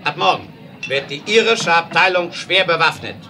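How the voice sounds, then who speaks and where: An actor playing a hot-tempered, bullying railroad boss in a 1970s German audio drama, speaking in a commanding, emphatic way. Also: male voice, fairly high pitch, moderate pace, sharp tone. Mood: angry, defiant. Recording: noisy 1970s radio play record.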